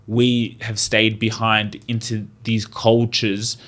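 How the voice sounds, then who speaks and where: A man talking as a guest on a podcast. He speaks in a relaxed, rambling way. He fills his sentences with "like" and "you know.". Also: on the low side, slow pace. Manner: loose and chatty, full of "like" and "you know". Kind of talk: casual conversation.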